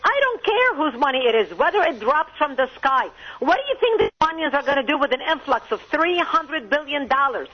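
Lebanese-born woman guest, high voice, forceful arguing, muffled phone line. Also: big pitch swings, brisk. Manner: emphatic, argumentative, rising to outbursts. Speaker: political commentator guest. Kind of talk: call-in radio interview.

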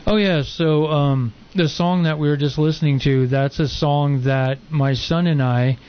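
A man's voice: fairly high pitch, fairly even intonation, measured pace, clean tone, lo-fi sound. A man guesting on a radio show, talking in a casual, rambling way with lots of fillers. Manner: rambling, lots of ums and likes. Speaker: guest co-host.

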